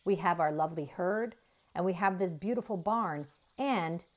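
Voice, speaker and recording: A woman's voice: lowish voice, moderate pace, natural voice. A woman voicing a world-wise old female cow in a children's story, chiding and reflective. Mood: grateful, fond.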